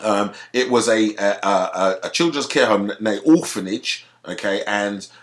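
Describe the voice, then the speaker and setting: A man's voice: on the low side, a little slow. A man with a British accent, from Africa, ranting to camera in a heated, profane way and often restarting his sentences. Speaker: video commentator.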